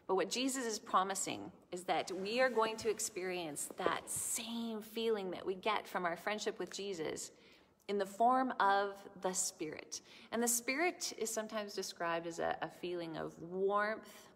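A middle-aged woman giving a children's sermon in a large church sanctuary, speaking gently and explaining things to children. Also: mid-range voice, measured pace. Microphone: camera in front, at close range. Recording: long church reverb.

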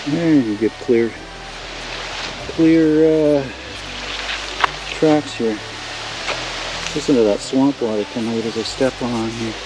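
An older man, a homesteader who casually narrates as he walks outdoors through woods and swamp, pointing things out in a relaxed, rambling way. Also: mid-range voice, slow, pauses often, noisy background. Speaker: homesteader vlogger.

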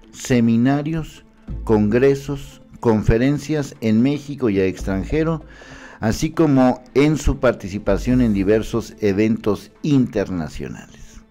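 A man in his fifties with a Mexican accent, giving emphatic, indignant political commentary to camera from a small studio on a mic in frame. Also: medium pitch. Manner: emphatic, indignant, animated hand gestures. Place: small studio with city backdrop.

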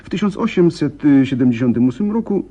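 An actor playing a polite, troubled gentleman client in a radio drama, speaking in a husky, hesitant, earnest way. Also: on the high side, sing-song, a little fast. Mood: earnest recounting.

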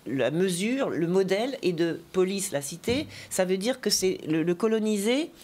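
A woman poet and teacher giving an interview, telling stories with many asides and then reading her poetry slowly and deliberately. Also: mid-range voice, animated, darkish tone. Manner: digressive, chatty storytelling with asides; slow, deliberate poetry recitation.

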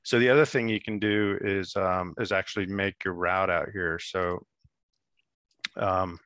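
Man in his thirties, low bright voice, dry headset sound, casual filler-heavy explainer on a video call. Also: a little slow, no echo. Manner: relaxed, explanatory, many fillers. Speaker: app demonstrator. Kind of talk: screen-share software demo.

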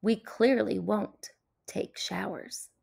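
A woman's voice: mid-range voice, steady tone, slow pace, creaky, darkish tone, husky, exaggerated voice. A woman voicing a finicky, bossy, indignant cat in a children's picture book, with an emphatic, dramatic delivery. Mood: firm, fussy.

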